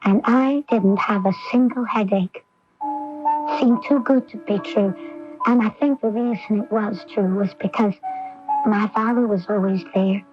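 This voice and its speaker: An actress playing an earnest, intense young daughter in a 1980s radio drama, with deliberate, theatrical phrasing. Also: female voice, mid-range voice, over music, natural voice. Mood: reflective. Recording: dull, dry AM radio recording.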